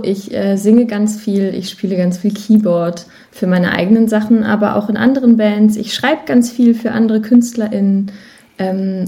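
Mellow woman chatting as interview guest. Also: medium pitch. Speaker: podcast guest, musician. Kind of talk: casual conversational interview answers.

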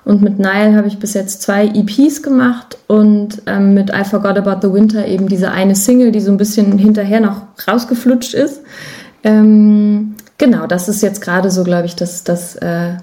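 A woman with a soft-edged, mellow voice at a middle pitch talks as an interview guest. She is chatty and candid, explaining her work in a casual, self-deprecating way. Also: fairly even intonation, normal speed. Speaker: podcast guest, musician and voice artist.

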